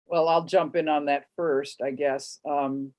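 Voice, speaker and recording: A woman opera singer on a panel, with a low speaking voice, telling her story in a relaxed, reflective way. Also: a little slow. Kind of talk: panel discussion.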